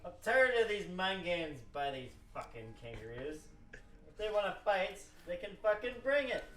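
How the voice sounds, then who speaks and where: A man's voice: high-pitched, sing-song, normal speed, a bit bright, voice pushed up. A man playing a hot-headed, foul-mouthed, defiant scientist in an amateur radio play, blustering and exasperated. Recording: roomy, distant mic with music behind.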